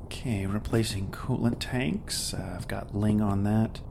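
A man's voice: fairly low pitch, unhurried. A man playing a competitive, sarcastic starship engineering lieutenant in an audio drama, argumentative and matter-of-fact. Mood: distracted, businesslike. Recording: clear ship ambience noise behind.

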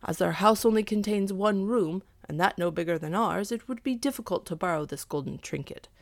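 Grumbling storybook peasant husband, done by a woman, envious, wary, deliberate, gravelly, dry recording. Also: mid-range voice, dry recording, close to an everyday voice. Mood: doubtful. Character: grumbling poor village husband, envious and cautious.

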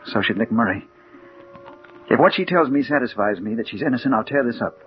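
A man's voice: mid-range voice, expressive, brisk, natural voice. An actor playing a haunted, smitten investigator who tells his own story earnestly in a 1940s radio mystery. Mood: brooding. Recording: muffled, noisy 1940s radio recording.

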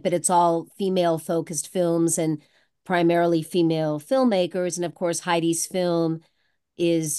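A woman speaking as a guest on a video call, slightly rough-voiced today, upbeat and agreeable. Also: lowish voice, mellow. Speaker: film festival organizer, guest. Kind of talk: casual talk over video call.

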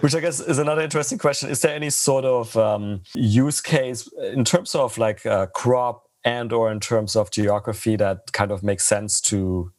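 A man's voice: mid-range voice, moderate pace, hardly any pauses, a little mellow. A male podcast host asking curious, loosely phrased questions, thinking aloud with fillers. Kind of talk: interview questions.

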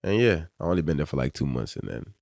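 A man's voice: very deep voice, big pitch swings, fast talker, gravelly, dry recording, studio quality. A young man from Togo, a soccer player guest, talking in a laid-back, slangy way full of fillers. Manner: laid-back, slangy, lots of "you know", trails off quietly. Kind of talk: casual interview.

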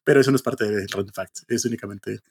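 A man's voice: fairly low pitch, fast, a little mellow, slightly rough. A man co-hosting a podcast, telling anecdotes in a casual conversational style. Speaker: podcast co-host.

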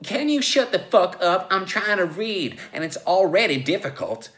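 A brash, demanding tiger-man from a comic story, acted out by a man. The voice is forceful and sharp, with crude, folksy phrasing and a bossy, impatient temper. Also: medium pitch, unhurried. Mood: angry, exasperated. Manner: demanding, foul-mouthed, folksy grammar. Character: brash, impatient male prisoner turned lustful giant tiger. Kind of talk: comic live story reading.

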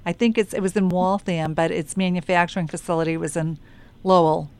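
A radio host with a Boston accent talks in a chatty, easygoing way. Her voice is lower than most women's, and it sounds smooth and resonant. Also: fairly even intonation, brisk, darkish tone. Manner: chatty, friendly banter. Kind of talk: casual radio interview.

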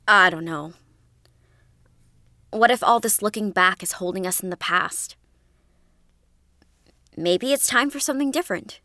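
A woman's voice: medium pitch, a little slow, long pauses, sharp tone, no room sound, studio quality. A woman playing a stubborn, questioning young daughter in a naturalistic audio drama, hesitant yet defiant. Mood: hesitant, doubtful.

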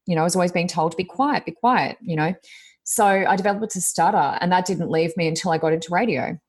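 A woman, co-hosting a casual talk podcast, speaking loudly and expressively as she reflects on her own experience. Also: fairly low pitch, fast. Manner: loud, expressive, self-reflective. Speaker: podcast co-host, coach. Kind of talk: casual chat.

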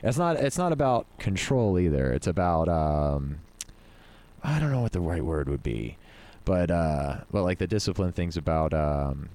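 Deep-voiced reflective man in his thirties. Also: sing-song, mellow. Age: 30s. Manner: reflective, profane, halting with fillers. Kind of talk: casual chat.

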